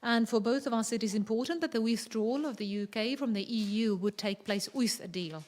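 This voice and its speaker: A woman moderating and interpreting at a press conference, rendering statements smoothly into English. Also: on the high side, brisk, darkish tone. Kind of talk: consecutive interpreting.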